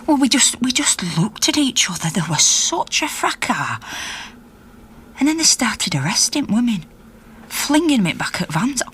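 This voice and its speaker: A woman's voice: a little high, very animated, a little slow, a bit bright, slight background noise. An actress playing a middle-aged Yorkshire miner's wife, an indignant activist telling her story conversationally and acting out the dialogue in a naturalistic radio drama.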